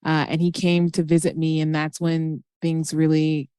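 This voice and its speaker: A woman's voice: sits low, level tone, normal speed, warm tone, clean tone, no room sound, studio quality. A woman in her thirties from Nigeria, telling her own story casually as a podcast guest. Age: 30s.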